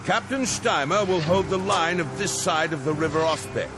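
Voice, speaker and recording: An actor playing a grave, resolute count and army general in a fantasy war game, speaking formally and with authority. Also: male voice, on the high side, moderate pace, natural voice. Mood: stern, commanding. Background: music.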